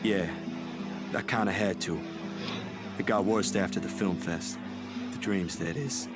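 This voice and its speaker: An actor playing a weary, troubled young man in a visual novel, low-key and resigned. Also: a little slow. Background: music over game dialogue.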